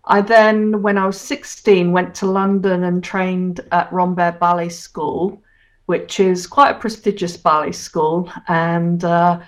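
A woman's voice: lowish voice, fairly even intonation. A woman in her sixties from Hong Kong, chatting as a guest in an interview and reminiscing about her career. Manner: chatty, reminiscing, quick to laugh. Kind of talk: casual interview.

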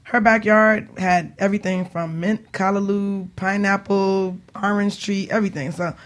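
A woman's voice: mid-range voice, steady tone, normal speed. A woman hosting a casual radio interview, talking in an informal, chatty way. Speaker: radio show host.

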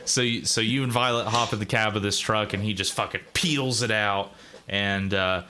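A man's voice: fairly low pitch, fairly even intonation, normal speed, pushed and bright, a little hiss or hum. A man narrating a tabletop game as game master in a casual, profane, rambling way. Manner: casual, profane, full of fillers and restarts.

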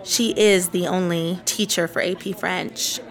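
A woman playing a curious, opinionated, teasing teenage prep school girl in a radio drama, with an expressive, gossipy, sarcastic delivery. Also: fairly low pitch, a little slow. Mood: grumbling.

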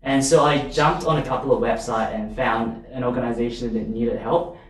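A man's voice: medium pitch, fairly even intonation, moderate pace, recorded from a distance. A man from Malaysia with a Malaysian accent, a non-native English speaker, giving advice and telling stories conversationally. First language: not English, learned it as a third language. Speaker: podcast guest, volunteer advocate. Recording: very echoey room, off mic, faint noise.

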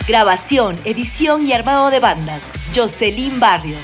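Higher-pitched woman announcer over music. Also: expressive, normal speed. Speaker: credits announcer. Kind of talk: scripted narration.

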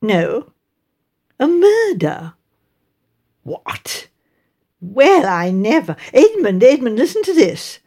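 A woman's voice: a little high, big pitch swings, takes it slowly, long pauses, dark tone, exaggerated voice. A woman voicing a chatty, strong-willed middle-aged English mother in a village, with decided, exclaiming emphasis. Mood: astonished.

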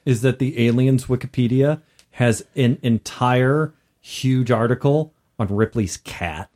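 A man's voice: medium pitch, a little slow, a little mellow. A man co-hosting a podcast, chatting casually about tabletop gaming. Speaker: podcast co-host.

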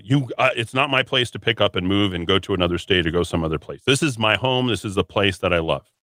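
A male radio host talks straight into a dry, close studio mic with a forceful, bright edge to his fairly low voice. He rambles and restarts his sentences as he argues, and he sniffles from a runny nose. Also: no echo. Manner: combative, rambling, repeats words and restarts. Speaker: radio talk show host. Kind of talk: solo talk radio monologue.

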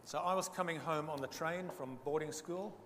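A man's voice: a little high, normal speed, fairly bright tone, slight background noise. Older man giving testimony. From Zambia. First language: Bemba. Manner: humorous, self-deprecating storytelling. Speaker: guest speaker giving testimony. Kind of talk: church testimony talk. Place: church hall. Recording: reverberant hall, faint ambience.

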